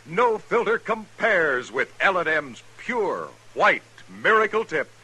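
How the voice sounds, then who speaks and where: A man's voice: high-pitched, big pitch swings, slow pace, pushed and bright, breathy edge, poor audio. A man announcing a commercial in 1950s radio advertising style.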